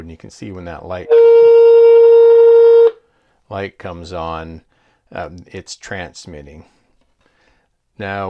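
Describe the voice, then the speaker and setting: A man's voice: low-pitched, gravelly. A man giving a casual product demonstration as a reviewer, explaining things in a plain-spoken, hesitant way.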